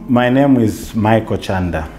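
A Zambian man in his forties, a theatre facilitator, talking reflectively in a relaxed interview in a bright living room, with restarts and "you know". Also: fairly low pitch, unhurried, loud music behind the voice.

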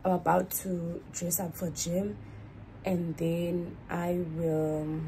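A woman's voice: on the low side, little pitch movement, takes it slowly, warm tone, a little hiss or hum, poor audio. Chatty young woman vlogging. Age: young adult. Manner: chatty, rambling, self-correcting. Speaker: student vlogger. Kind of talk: casual vlog chat to camera. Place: small student dorm room, indoors. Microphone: phone camera at arm's length.

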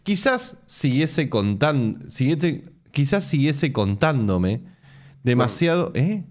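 Argentine man, 30s, streamer; sarcastic, quick to laugh, very lively intonation. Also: medium pitch. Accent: Argentine Spanish. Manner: laughs often, sarcastic jokey asides. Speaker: streamer reading a forum post aloud. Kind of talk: casual live-stream reading with mocking asides. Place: home room with bookshelves.

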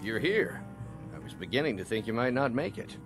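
An actor playing a jovial, sly demon lord of debauchery in a fantasy game, teasing and theatrical like a roguish uncle. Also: male voice, medium pitch, very animated, fairly quick, lightly acted. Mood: amused. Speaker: video game character. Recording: game audio with music behind.

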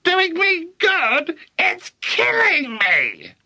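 A man's voice: very high voice, huge pitch swings, pushed and bright, voice pushed up, heavily acted. A man voicing a cantankerous old grandmother from a children's storybook, screeching and wailing frantically in a strained voice. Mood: outraged screaming. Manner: frantic wailing, drawn-out exclamations. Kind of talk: animated children's storybook character reading.

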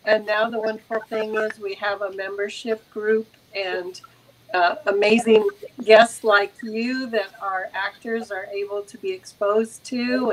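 A woman's voice: medium pitch, a little hiss or hum, rough recording. Older woman chatting on a video call. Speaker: guest, acting program founder. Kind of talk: casual video call chat.

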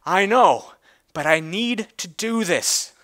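Man putting on an urgent high-pitched spider mother. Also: lively intonation, slow pace, fairly bright tone, heavily acted. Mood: determined. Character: brave, anxious female spider mother.

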